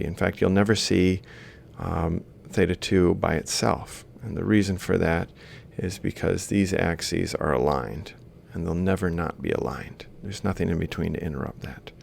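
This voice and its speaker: Male lecturer, low register with heavy vocal fry, informal step-by-step walkthrough. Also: slight background noise. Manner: explains step by step while writing. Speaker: lecturer in robotics. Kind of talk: informal worked-example lecture.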